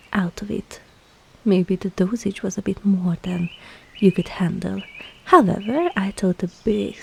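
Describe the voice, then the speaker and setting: A woman playing a scheming, seductive witch in a whispered ASMR roleplay, breathy and teasing, with falsely soothing reassurance. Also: medium pitch, a little hiss or hum. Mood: sly, teasing.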